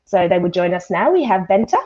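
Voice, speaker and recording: A woman's voice: medium pitch, sing-song, a little fast, a little mellow. A woman hosting an informal live online panel in a chatty, conversational way over a video call. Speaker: host, volunteer science event presenter. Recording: dull, lo-fi video call audio.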